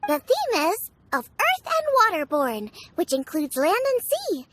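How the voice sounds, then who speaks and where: Very high, bubbly young girl. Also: wildly swooping pitch, unhurried, a bit bright, no echo, heavily acted. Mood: proud, cheerful. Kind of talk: video game character acting.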